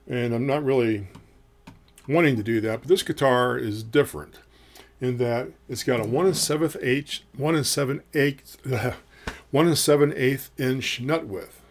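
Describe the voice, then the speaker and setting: A man in his fifties with a low voice, reviewing a guitar in casual, rambling talk full of ums, recorded in a small home studio. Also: measured pace, slight background noise. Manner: rambling, folksy, many ums and uhs.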